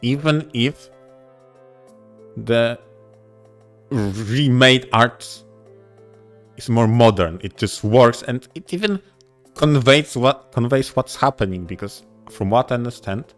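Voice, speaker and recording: A man co-hosting a podcast in casual discussion, speaking in a deliberate, halting way. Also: medium pitch, soft background music. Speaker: podcast co-host. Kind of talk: casual discussion of visual novels.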